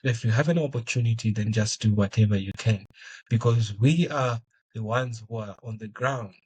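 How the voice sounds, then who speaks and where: Husky young Zimbabwean man, halting. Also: fairly low pitch, darkish tone. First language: Shona. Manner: thoughtful, many fillers and restarts. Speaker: guest. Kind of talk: conversational interview answers.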